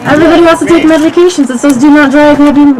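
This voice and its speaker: A woman's voice: high voice, steady tone, racing, a little mellow. A woman at an outdoor community meeting, making her case earnestly. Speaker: resident. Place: outdoors by a roadside. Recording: outdoor noise.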